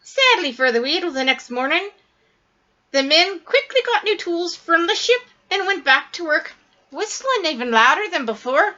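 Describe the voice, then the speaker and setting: High, animated woman reading a storybook. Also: dull audio. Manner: animated, dramatic storytelling with drawn-out emphasis. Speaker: storybook reader. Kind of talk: expressive read-aloud of a children's story.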